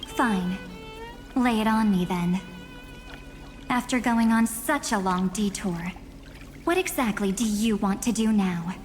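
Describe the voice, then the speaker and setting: A world-weary, condescending female antagonist performed by a woman talks slowly and with measured haughtiness. It is video game acting with faint music underneath. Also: mid-range voice, close to an everyday voice. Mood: resigned, cool.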